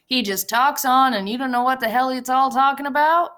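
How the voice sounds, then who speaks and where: A woman reading the part of a bitter, guarded older male stable hand, with blunt, sharp rural speech. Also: sits high, brisk, voice pushed up. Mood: probing.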